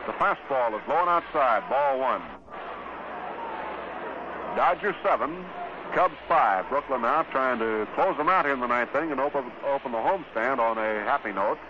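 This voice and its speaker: An American man calling baseball play-by-play in 1950s radio style, in terse, unhurried phrases between pitches. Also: lively intonation. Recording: noisy, dull 1950s radio broadcast.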